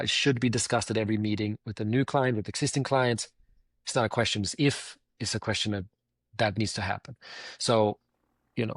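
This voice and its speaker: A man's voice: fairly low pitch, no echo. A man with a Swedish accent, a company founder answering interview questions in an earnest way, often circling back to restate his points.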